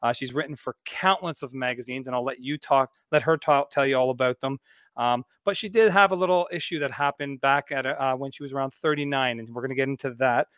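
A man in his forties hosting a casual video podcast, chatty and relaxed, with plenty of fillers. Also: mid-range voice, fairly quick, no room sound. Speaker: podcast host. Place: home studio, indoors. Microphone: studio mic on a video call.

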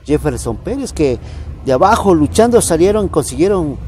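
Middle-aged male sports interviewer, mellow. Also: on the high side, sing-song, normal speed, a little hiss or hum. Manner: prompting, gives short backchannel replies. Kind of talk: interview questions. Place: outdoor artificial-turf football pitch.

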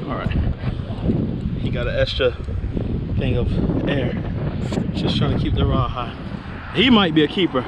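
A man giving excited, slangy running commentary in casual chat while fishing. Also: mid-range voice, unhurried, pauses often. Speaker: fishing vlogger. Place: outdoors on a bridge over the sea. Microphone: head or chest-mounted action camera. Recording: loud wind and water noise.

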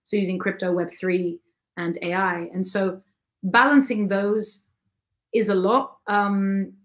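A hoarse woman in her thirties, a singer-songwriter guest, talking quickly and rambling with many ums from a home studio on a video call. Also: mid-range voice, steady tone. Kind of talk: casual chat on a livestream. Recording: acoustic guitar behind the voice.

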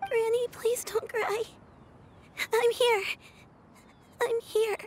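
A small child pleads softly and earnestly in a very high voice. The tone is devoted and tender, a young game character clinging to a beloved grandmother. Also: flat delivery, slow. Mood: pleading.

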